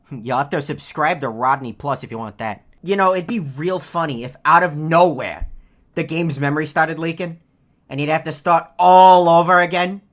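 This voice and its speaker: A woman voicing a lazy, greedy bird who heckles in a deadpan, sarcastic way as a video game character. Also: low-pitched, moderate pace, natural voice. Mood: exasperated teasing.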